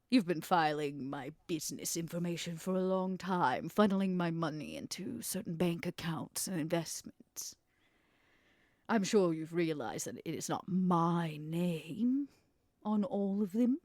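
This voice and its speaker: A woman playing a scheming, worldly female vampire businesswoman in a tabletop roleplay, speaking in a theatrical, deliberate, insinuating way. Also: fairly low pitch, a little mellow, dry recording, clean studio sound. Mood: coy, conspiratorial.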